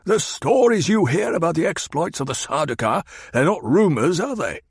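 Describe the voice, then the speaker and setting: A man voicing a cold, sardonic old advisor who speaks in clipped, cutting sentences, pitched higher than the narration. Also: big pitch swings, normal speed, husky, no room sound, clean studio sound, exaggerated voice. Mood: scornful. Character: cold, scornful old male mentat advisor.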